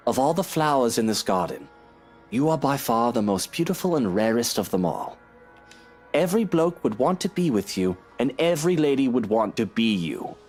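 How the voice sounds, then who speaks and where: Husband, swooping sweet-talker. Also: male voice, mid-range voice. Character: charming, placating British husband, sweet-talking and evasive. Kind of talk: visual novel game dialogue acting.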